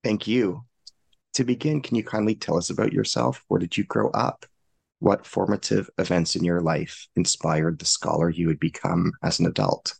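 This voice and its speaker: A man hosts a podcast interview in a formal, courteous manner. His voice is very low with a gravelly edge, recorded dry and close to the mic. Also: fairly even intonation, normal speed, dry recording. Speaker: podcast host.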